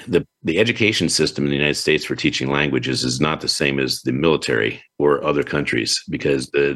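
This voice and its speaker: A man with an extremely low, deep voice hosts a relaxed talk show. He talks in an expansive, chatty way as he puts questions to his guests. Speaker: show host. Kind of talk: casual interview chat.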